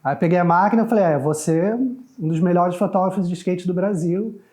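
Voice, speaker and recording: Middle-aged male guest from Canada, native English speaker, warm relaxed timbre, fairly high pitch, conversational. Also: normal speed. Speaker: photographer guest. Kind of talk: casual interview chat.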